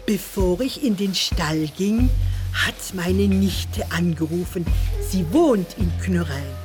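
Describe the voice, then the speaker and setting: A gossipy Alsatian village neighbour, French-tinged German, chatty and plain-spoken, radio drama over prominent music. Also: female voice, on the low side, lively intonation, slow pace, darkish tone. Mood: gossipy, confiding. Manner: chatty, slips French phrases into talk. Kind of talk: radio play acting.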